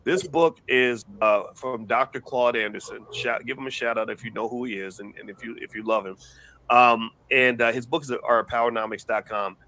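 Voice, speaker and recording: A man hosting a news commentary show. He talks in an animated, rambling way and often repeats words. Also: mid-range voice, moderate pace, low-quality recording. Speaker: host.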